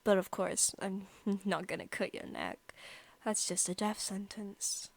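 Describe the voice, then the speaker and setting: An obsessive, sadistic vampire woman , acted out by a female ASMR performer, murmurs at a very slow pace. Her soft, breathy voice crackles with vocal fry and slips into whispers. She is teasing and menacing, like a predator toying with a pet. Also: medium pitch, steady tone, no echo. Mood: hungry, coaxing. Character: obsessive, sadistic centuries-old female vampire posing as student. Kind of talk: ASMR roleplay acting.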